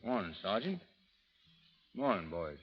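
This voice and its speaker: A man playing a stubborn, quietly dangerous frontier gunfighter in an old radio drama, speaking in terse, folksy phrases. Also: lowish voice, very animated, measured pace. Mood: cool, grim. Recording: muffled old radio recording with music.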